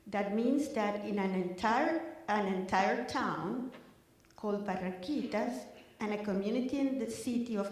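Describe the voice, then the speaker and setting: An older woman presents to a room, measured and deliberate, pausing to find her words. She talks slowly, and her voice rings out through a very echoey hall. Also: a little high, a little mellow. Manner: careful, measured delivery with small restarts. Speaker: panel facilitator. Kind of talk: presenting to a live audience.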